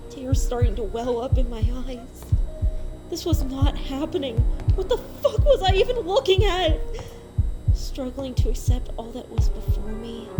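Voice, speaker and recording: A woman's voice: fairly high pitch, low-quality recording. A woman giving a dramatic first-person reading of a horror story, with a suspenseful, emotive delivery.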